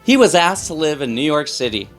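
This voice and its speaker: A man reads a picture book aloud for young children with a very lively, swooping delivery. His emphasis is playful and theatrical, and he speaks straight to his listeners. Faint music plays behind him. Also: a little high, fairly quick.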